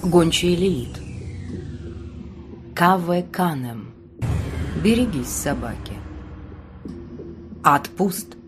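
A woman narrating an audiobook in a dramatic first-person style, expressive, with deliberate pauses. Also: on the low side, music in the background.

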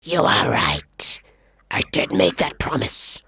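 A woman voicing a proud, hungry male lion king with a deep, breathy growl, speaking slowly and menacingly in a storybook. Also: big pitch swings, pushed and bright, heavily acted. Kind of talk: storybook read-aloud.

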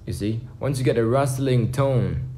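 A man's voice: mid-range voice, normal speed, mellow. A man in his twenties giving a casual tutorial to camera, explaining things simply, step by step. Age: 20s. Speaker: beatbox instructor. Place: small home room indoors. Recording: faint background noise, home room.

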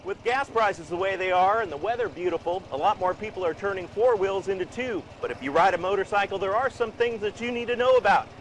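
A man's voice: high-pitched, a little fast, hardly any pauses, a little hiss or hum. A man in his forties giving a scripted TV news report outdoors.

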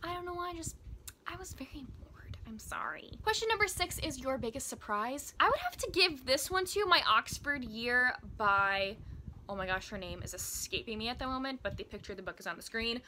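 A woman's voice: high-pitched, expressive, fairly quick, sharp tone, slight background noise. A woman in her twenties chatting casually to camera as a book vlogger, speaking in an enthusiastic, emphatic way. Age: 20s. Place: small indoor room with bookshelves.

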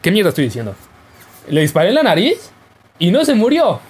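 Lively playful young man gaming. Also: high voice, fairly quick, slight background noise. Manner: playful, jokey, yelps and laughs while playing. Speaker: gaming YouTuber. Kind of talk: casual gameplay commentary.

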